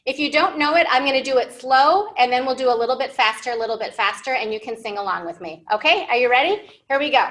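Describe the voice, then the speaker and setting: A woman's voice: high voice, expressive, fast, few pauses, a bit bright. An American woman in her thirties hosting an interactive storytime for young children, speaking in simple, encouraging, teacherly phrases and asking questions. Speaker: library children's storytime host. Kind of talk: interactive storytime lesson for young English learners. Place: indoor library room, seated at a whiteboard.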